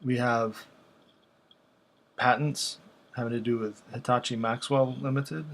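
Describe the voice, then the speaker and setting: A man talks casually through examples in a flat, even voice. His delivery is unscripted and halting, as if thinking aloud while he browses, with very little rise or fall in pitch. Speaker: video host.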